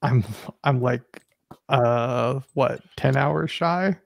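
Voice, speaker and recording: Male stream guest, very creaky voice, dry close sound, casual delivery. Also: mid-range voice, extremely slow, no echo. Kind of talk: casual chat.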